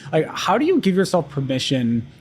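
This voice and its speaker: A man hosting a podcast interview, chatty and quick, with frequent laughs and restarts. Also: on the high side, huge pitch swings. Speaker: podcast host. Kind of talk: casual interview conversation.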